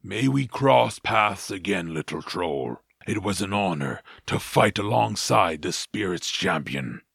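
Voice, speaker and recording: A man's voice: low-pitched, animated, a little slow, gravelly, fairly bright tone, slightly rough, no room sound, studio quality, full character voice. A man playing a big, honorable clan warrior creature in an audio drama, speaking in a grave, formal, ceremonious way. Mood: solemn gratitude.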